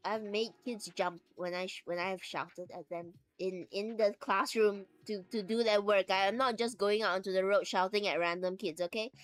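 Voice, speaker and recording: A woman streamer chatting casually, laughing often and acting out little quoted voices. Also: medium pitch.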